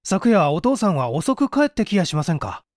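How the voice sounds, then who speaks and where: A man voicing a courteous, earnest, worried young gentleman in an audiobook reading. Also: high-pitched, sing-song, brisk, dry recording, clean studio sound, pitched above the performer's own voice. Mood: concerned. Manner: polite and earnest. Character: earnest, courteous young master, worried about his father. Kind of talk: audiobook character voice.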